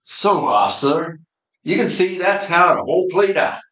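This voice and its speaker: An amateur actor playing a blunt, offhand supernatural detective in a community radio play, recorded in an echoey room. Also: male voice, high voice, animated, unhurried, a bit bright. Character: gruff hard-boiled male supernatural detective.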